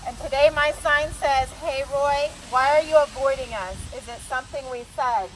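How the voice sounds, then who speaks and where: A woman's voice: high-pitched, normal speed. A woman from North Carolina giving an impassioned, accusatory protest speech through a bullhorn outdoors. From North Carolina, United States. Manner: insistent, accusatory. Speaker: protest speaker. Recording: noisy outdoor bullhorn recording.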